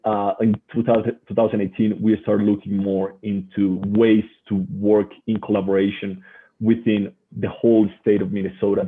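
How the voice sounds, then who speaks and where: A man with a Colombian Spanish accent presenting as a guest researcher in a webinar, haltingly and often repeating words. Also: fairly low pitch, slightly rough. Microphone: online video call. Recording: muffled, phone-like webinar audio.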